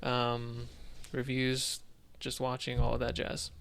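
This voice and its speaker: Man, podcast co-host, creaky but smooth voice, halting casual chat, tongue-in-cheek. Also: mid-range voice, monotone, a bit bright.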